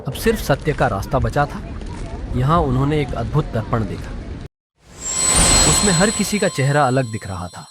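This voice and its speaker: A man's voice: medium pitch, sing-song, moderate pace, pauses often, loud music behind the voice. A man narrating a scripted moral story for children as a storyteller. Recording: clearly noisy background.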